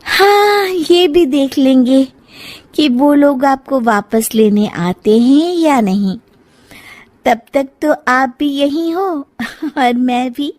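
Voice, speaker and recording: Family tale role: chiding young wife, high-toned, measured, outspoken woman performing. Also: darkish tone. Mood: taunting. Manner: pointed, reproachful.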